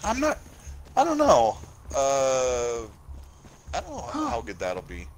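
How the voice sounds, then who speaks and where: A middle-aged man talks casually as a podcast guest. He is enthusiastic and laughs easily. His pitch moves a lot and stays lively. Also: slow pace, a crowd in the background. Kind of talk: casual chat.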